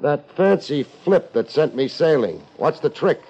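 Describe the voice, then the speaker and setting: Tough American detective, sardonic, clipped, very lively pitch, muffled vintage radio sound. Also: male voice, measured pace. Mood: wry, defiant. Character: tough, wisecracking male private detective. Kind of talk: 1950s American radio drama acting. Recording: dull old 1950s radio recording.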